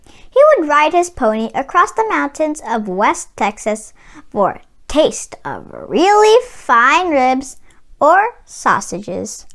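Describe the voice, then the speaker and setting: A woman narrating a children's storybook read-aloud in an expressive storytelling manner. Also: high-pitched, takes it slowly. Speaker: storybook reader.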